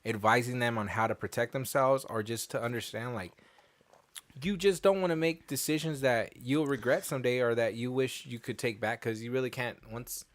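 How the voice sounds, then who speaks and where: A young man talks in a loose, slangy way, dropping curse words as he co-hosts a chat podcast. His voice sits at an ordinary middle pitch. The sound is dry and close, with no room echo. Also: moderate pace. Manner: laid-back slangy talk, swears freely. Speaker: podcast co-host. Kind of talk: casual chat.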